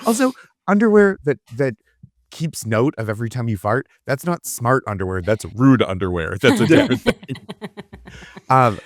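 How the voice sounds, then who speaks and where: A man's voice: mid-range voice, sing-song, brisk, no echo, studio quality. A man on a comedy podcast panel, riffing in a mock-indignant manner. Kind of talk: comic riffing.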